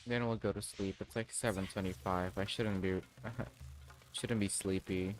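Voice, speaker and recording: A man's voice: low voice, fairly even intonation, unhurried. A man chatting casually as he streams a game, thinking aloud to himself. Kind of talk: casual muttering livestream gameplay chat.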